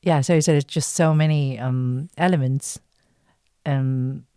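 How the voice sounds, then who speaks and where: A woman's voice: rumbling low, steady tone, takes it slowly, dark tone, clean tone, no room sound, clean studio sound. A woman from China speaking as an interview guest, an actress answering casually in hesitant, thoughtful phrases.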